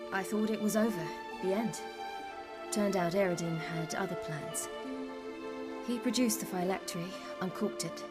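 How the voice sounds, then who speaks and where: An actress playing a guarded young woman recounting her flight to a companion in a video game, measured and matter-of-fact, with music under her voice. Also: medium pitch, lightly acted. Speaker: game character. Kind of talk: recounting a story in dialogue. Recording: game audio over music.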